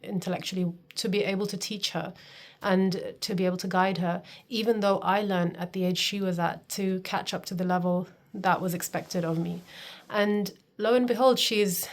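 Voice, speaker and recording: A woman's voice: mid-range voice, steady tone, moderate pace. A woman in her thirties, from Pakistan, talking earnestly and confidingly to camera as a homeschooling coach, often stressing her points with "literally". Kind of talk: personal talking-to-camera vlog.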